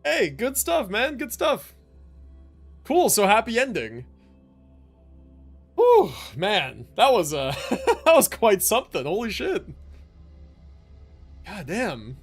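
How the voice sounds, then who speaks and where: Casting: young male game streamer, loose slangy chat, very varied intonation, soft game music behind. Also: sits high, huge pitch swings, slow pace, pauses often, husky. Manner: laid-back, slangy. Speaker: game livestreamer. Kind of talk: casual livestream commentary.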